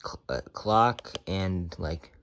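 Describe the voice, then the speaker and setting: A man co-hosting a casual, unscripted product review, speaking haltingly in short, laconic remarks. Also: deep voice, expressive, fairly bright tone, slight background noise. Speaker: co-host of a product review channel.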